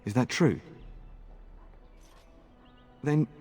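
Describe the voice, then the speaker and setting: An actor playing an earnest, eager and courteous young knight in a fantasy video game. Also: male voice, mid-range voice, extremely slow, darkish tone. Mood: polite, wry. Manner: polite, boyishly earnest.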